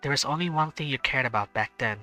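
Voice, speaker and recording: A man voicing a sharp-tongued teenage schoolgirl in a visual novel, with clipped, sarcastic retorts. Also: medium pitch, fast talker, faint music behind, pitched above the performer's own voice, low-quality recording.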